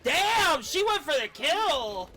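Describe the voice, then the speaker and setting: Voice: high voice, big pitch swings, slow pace, bright, forceful tone, a little hiss or hum. A game streamer giving casual live commentary in a blunt, reactive, sweary way.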